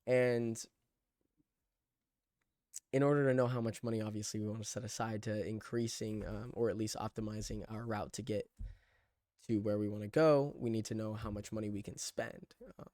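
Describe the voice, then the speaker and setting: A man's voice: fairly low pitch, fairly even intonation, moderate pace, a little mellow, dry recording, clean studio sound. A twenty-year-old man giving a casual, rambling vlog monologue, loose, digressive and self-deprecating as he talks through his screen. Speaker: vlogger documenting a personal challenge.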